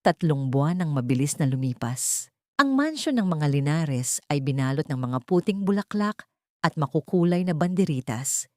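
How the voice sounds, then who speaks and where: A woman narrating a dramatic audiobook story in a steady storytelling manner. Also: low voice, normal speed, no room sound, clean studio sound.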